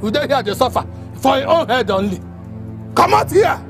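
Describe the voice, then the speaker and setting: Male actor as brash Nigerian drinker-tenant for comedy drama: very high voice, mouthy, taunting, animated. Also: normal speed, creaky, faint music behind, heavily acted. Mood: angry, mocking. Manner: loud, taunting, repetitive ranting. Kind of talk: Pidgin comedy drama acting.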